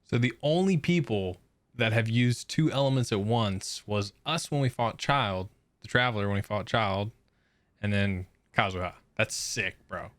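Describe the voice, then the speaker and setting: A man's voice: lowish voice, moderate pace, fairly bright tone, no echo. A man giving casual, slangy, laid-back reaction commentary as a gaming YouTuber.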